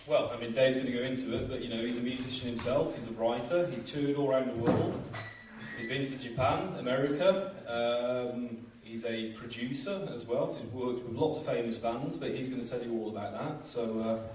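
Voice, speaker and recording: A man's voice: medium pitch, a little fast, a little mellow, slight background noise. A man hosting a college event in a chatty, jokey way, addressing a student audience. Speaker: college lecturer and host. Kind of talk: casual stage hosting. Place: college hall. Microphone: handheld mic heard from far off. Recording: very echoey hall from far off with music.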